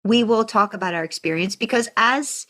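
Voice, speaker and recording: A woman's voice: mid-range voice, normal speed, fairly bright tone. A woman co-hosting a podcast, talking in a casual, conversational style. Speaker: podcast co-host. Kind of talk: casual chat.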